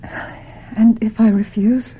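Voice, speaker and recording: An actress playing a vulnerable young film actress in a radio drama, breathy, hesitant and plaintive as she resists pressure. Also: fairly high pitch, steady tone, slow, a little hiss or hum. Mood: wistful, vulnerable. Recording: dull, muffled old radio drama recording.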